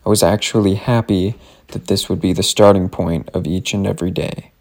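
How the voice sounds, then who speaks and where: A man's voice: low voice, steady tone, a little fast, darkish tone. A man reading a scripted first-person story aloud, in the form of a personal letter. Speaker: reader of a written story.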